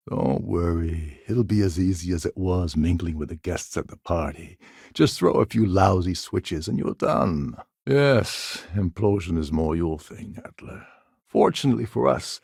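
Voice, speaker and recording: An actor playing a gruff, cynical, self-serving space pirate in a video game, with a gravelly voice and a wry, sardonic, world-weary manner. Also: male voice, low voice, a little mellow, dry recording, studio quality, full character voice. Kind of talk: game character dialogue lines.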